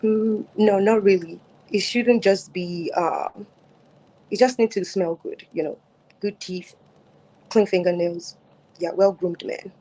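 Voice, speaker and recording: A woman's voice: medium pitch, fairly even intonation, slow, low-quality recording. A woman in her thirties with a Nigerian accent, answering dating questions casually on a phone at home, with lots of fillers and restarts. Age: 30s. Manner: soft-spoken, many fillers and restarts. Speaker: guest on a dating show. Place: home, mid-move.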